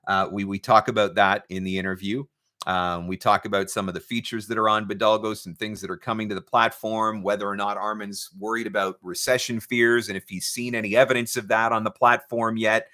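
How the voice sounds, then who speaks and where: A man's voice: on the low side, level tone, a little fast, sharp tone. A man hosting a casual, off-the-cuff livestream as a coach, chatting informally with a playful, teasing streak. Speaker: livestream host and voiceover marketing coach. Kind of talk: casual live chat, off the cuff.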